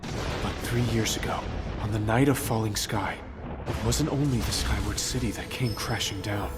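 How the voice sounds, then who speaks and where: A man playing an earnest, thoughtful sect disciple who recounts old tales in a measured, dramatic way for a video game. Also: on the low side, music in the background, natural voice. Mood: grave. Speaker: non-player character giving backstory. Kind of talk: expressive storytelling in video game dialogue.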